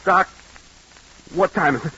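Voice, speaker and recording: An actor playing a nervous, guilt-ridden young factory worker in a 1940s radio drama, anxious and insistent. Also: male voice, sits high, unhurried, bright, forceful tone, breathy edge, exaggerated voice. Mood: agitated, pleading. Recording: muffled old radio drama recording.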